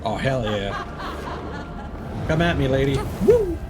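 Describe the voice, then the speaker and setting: A man doing casual, playful live commentary as he streams a game, excitable and given to calling out attacks. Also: medium pitch, slow. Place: indoors, streaming over loud game audio. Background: loud game music and effects.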